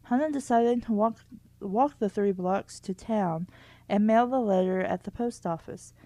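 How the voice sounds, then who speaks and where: A woman reads a story aloud at a middling pitch in a dry, close recording. Her style is casual, and she breaks into wry, candid commentary as she goes. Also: a little slow, warm tone, no echo. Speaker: host reading a children's book aloud and commenting.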